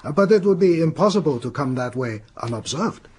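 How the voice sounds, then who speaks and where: An actor playing a businesslike Japanese police superintendent in a radio drama, giving briefings in a matter-of-fact way. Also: male voice, a little high, lively intonation, a little fast, a little mellow, slight background noise, close to an everyday voice. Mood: factual briefing.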